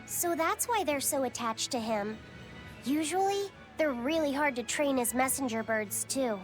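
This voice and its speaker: A woman voicing a gentle, bookish young boy in an anime-style video game, speaking softly, earnestly and politely. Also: sits high, expressive, a little slow, soft background music.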